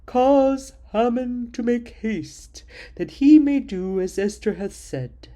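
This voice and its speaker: A woman voicing a powerful male king in a scripture reading, declaiming loudly and drawing out her words. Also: a little high, takes it slowly, voice pushed up. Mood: grand. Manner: loud, declaiming, drawn out.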